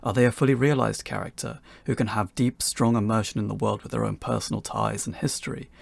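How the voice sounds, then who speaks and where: Gravelly, husky man presenting a tutorial. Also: fairly low pitch, steady tone, a little fast, dry recording. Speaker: presenter of a beginners' tutorial talk. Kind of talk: scripted instructional narration.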